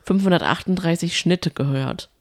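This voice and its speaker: A woman's voice: fairly low pitch, moderate pace, dry recording, studio quality. A woman chatting casually as a podcast co-host, with a playfully critical, teasing way of talking.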